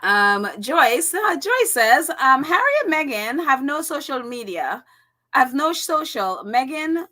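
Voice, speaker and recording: A woman with a Caribbean accent hosts a casual livestream and reads out viewer comments. Her voice sits high and sounds bright and crisp. She laughs a lot and fills pauses with ums. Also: very animated. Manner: chatty, laughs often, many fillers. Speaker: channel host reading live chat.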